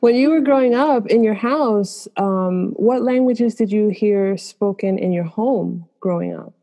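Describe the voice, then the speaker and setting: A woman hosts a podcast with a mellow, warm voice. She reads her intro smoothly and then asks her guest questions in a friendly, upbeat way. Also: medium pitch, a little slow. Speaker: podcast host. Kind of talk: scripted intro, then interview.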